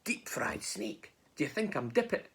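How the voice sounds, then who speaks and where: A woman's voice: fairly low pitch, sing-song, normal speed, a bit bright, husky, pitched above the performer's own voice, heavily acted. A woman voicing a sly, falsely friendly snake in a Scots storybook, with a Scottish accent. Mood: scornful.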